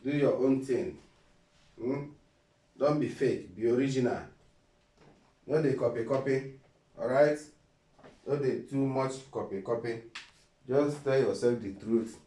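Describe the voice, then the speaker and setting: Nigerian man, Igbo accent, preachy vlog talk, rhetorical questions, medium pitch, roomy echo. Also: a little slow, long pauses, warm tone, far from the mic. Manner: preachy, repeats phrases, asks rhetorical questions. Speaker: vlogger. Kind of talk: casual motivational talk in Pidgin. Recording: roomy and a bit off-mic.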